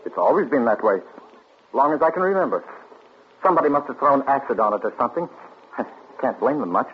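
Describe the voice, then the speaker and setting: An actor in a 1940s radio play voicing a nervous, defensive heir who hesitates, then turns indignant. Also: male voice, mid-range voice, lively intonation, brisk, slight background noise, natural voice. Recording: dull old radio broadcast.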